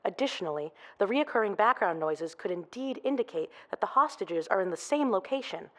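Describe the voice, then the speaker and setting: A woman's voice: medium pitch, animated, a little fast, a bit bright, natural voice. A woman playing a cautious forensic expert, speaking precisely and formally in a scripted audio drama interview. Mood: cautious, professional. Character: cautious, professional female forensic audio examiner. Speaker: expert podcast guest.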